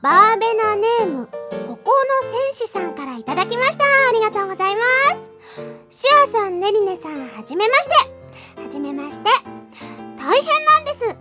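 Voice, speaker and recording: A woman playing an excitable, lovestruck anime schoolgirl co-hosting a character radio show, theatrical and exclaiming. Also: very high voice, fairly quick, loud music behind the voice. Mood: earnest. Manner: exclaiming, theatrical, stammering when flustered. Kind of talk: character radio show, reading listener letters.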